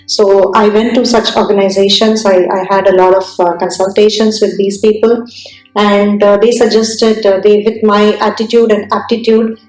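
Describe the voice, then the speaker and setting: A woman from India with an Indian accent, telling her career story in an interview, speaking thoughtfully with many pauses and restarts. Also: mid-range voice, level tone, darkish tone, echoey room, poor audio. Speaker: entrepreneur guest.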